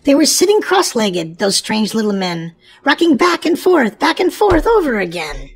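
High, very animated woman reading to children. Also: moderate pace, husky. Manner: exclamatory, addressing young children. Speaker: children's show host and storybook reader. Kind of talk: animated children's read-aloud narration.

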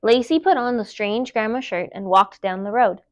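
A woman in her thirties reading a children's picture book aloud in an expressive, emphatic storytime style. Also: a little high. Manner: animated, emphatic, deliberate storytelling. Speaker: library storytime reader. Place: indoor room, seated before a dark wall. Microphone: clip-on lapel mic.

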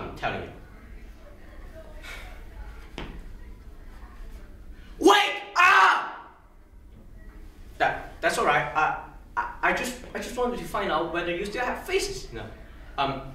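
A man acting an earnest, idealistic senator in a theatrical monologue, speaking emphatically with halting, drawn-out phrases. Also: high voice, pushed and bright, exaggerated voice. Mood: indignant, defiant. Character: earnest, impassioned idealistic male senator making a speech. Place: large echoey room, mic at a distance. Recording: echoey room, distant mic, faint noise.

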